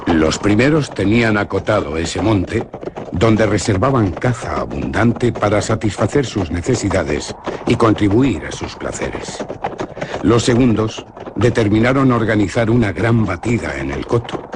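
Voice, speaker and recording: A young nobleman in a radio drama, earnest and measured as he tells a tale. His voice sits low but moves expressively. Noise hangs clearly behind the recording. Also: expressive. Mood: grave. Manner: grave, measured storytelling.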